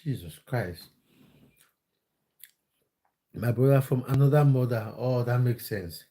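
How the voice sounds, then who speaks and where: A man from Cameroon talks casually to his livestream audience, reading out their comments and replying. His voice is mellow and dark. He hesitates often and repeats himself as he thinks. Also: mid-range voice, takes it slowly, rough recording. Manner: reads out viewer comments and answers them, with many fillers and repeats. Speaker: livestream host answering chat. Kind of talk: casual livestream chat about football.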